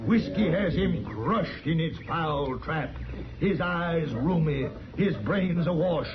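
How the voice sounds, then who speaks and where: Casting: male slick traveling quack, high voice, florid declamation, vintage radio western with muffled sound. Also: animated, takes it slowly. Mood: indignant preaching. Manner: florid, declamatory sales oratory. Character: pompous traveling medicine-show quack professor, slick and theatrical. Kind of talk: old-time radio drama acting. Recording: dull old radio recording, crowd behind.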